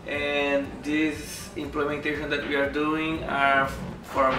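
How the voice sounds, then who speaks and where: A developer speaks halting English in a fairly high voice. He is heard from a distance in an echoing room. Music plays clearly underneath. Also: monotone. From Brazil. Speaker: software developer. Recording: roomy, distant mic. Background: background music.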